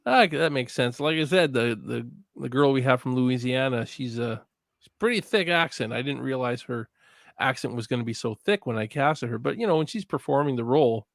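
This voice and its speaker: Canadian filmmaker, gravelly and dry. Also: male voice, mid-range voice, fairly quick, dry recording. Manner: relaxed, laughs often, swears freely. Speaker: guest filmmaker. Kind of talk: casual podcast chat.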